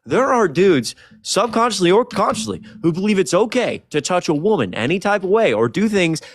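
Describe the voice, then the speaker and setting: Young man, slightly high voice, heated debate, confrontational, sweary, dry close recording. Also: very animated, a little fast, dry recording. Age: early 20s. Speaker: debater on a live stream.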